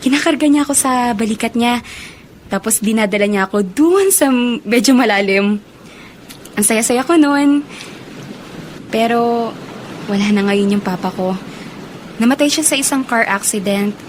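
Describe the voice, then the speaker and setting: A woman's voice: a little high, moderate pace, pauses often, slight background noise, natural voice, poor audio. A woman playing a friendly, curious young college student in a Filipino radio drama, speaking with a Filipino accent. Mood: wistful.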